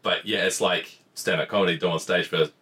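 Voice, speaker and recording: A man's voice: sits low, fairly even intonation, brisk, bright, forceful tone. A man co-hosting a podcast, chatting casually with dry, wisecracking remarks. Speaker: podcast co-host.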